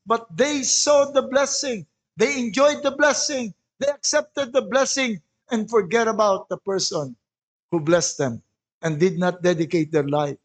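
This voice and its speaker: A man with a Filipino accent preaching a sermon as a pastor, in deliberate, emphatic phrases with rhetorical questions. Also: high voice. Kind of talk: sermon mixing English and Tagalog.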